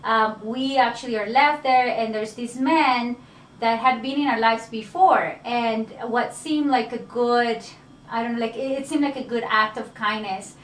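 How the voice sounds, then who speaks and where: A woman's voice: fairly high pitch, fairly even intonation, unhurried, slight background noise. A woman from Mexico in her late thirties, a guest telling her life story in casual conversation, with many fillers and the odd light laugh. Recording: echoey room, slightly distant mic.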